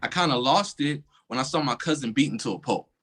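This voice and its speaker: An actor playing a shaken young police officer who speaks low and tersely in a staged play reading. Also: male voice, expressive, a little fast, fairly bright tone, husky. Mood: subdued.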